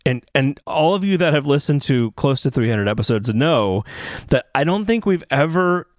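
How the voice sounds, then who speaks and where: A man's voice: a little high, expressive, moderate pace, no echo, studio quality. A man hosting a podcast interview, speaking enthusiastically with lots of fillers and restarts. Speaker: podcast host.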